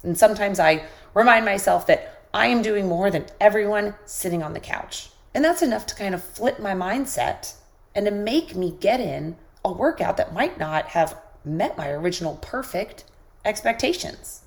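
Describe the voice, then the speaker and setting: A woman hosting a podcast, giving an encouraging motivational talk while sick with a squeaky voice. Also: expressive, normal speed, gravelly. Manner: encouraging, coaching listeners directly. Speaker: podcast host.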